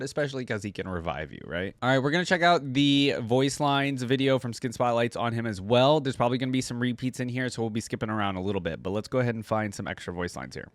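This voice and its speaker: A man's voice: medium pitch, dry recording. A man giving casual, chatty, appreciative reaction commentary as a gaming fan. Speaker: reaction video host, game fan.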